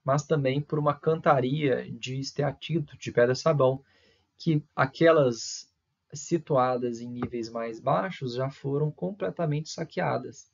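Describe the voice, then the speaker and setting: Guy from lecturing, keeps restarting and going "né?", kinda husky, muffled lo-fi sound. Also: mid-range voice, darkish tone. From Brazil. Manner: hesitant, many fillers and restarts, frequent tag questions. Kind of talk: recorded university lecture.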